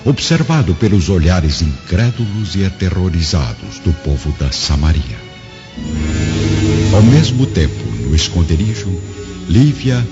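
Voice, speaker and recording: A man's voice: sits low, highs cut off. A man narrating a scripted radio drama in a solemn, theatrical, emphatic manner. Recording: band-limited sound, loud music underneath.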